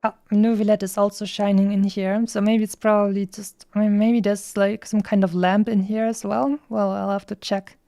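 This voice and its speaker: A woman game streamer talks casually in a mellow, medium-pitched voice. She rambles and drops playful asides. The recording is dry and close. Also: level tone, normal speed, few pauses, no room sound. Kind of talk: casual livestream chat.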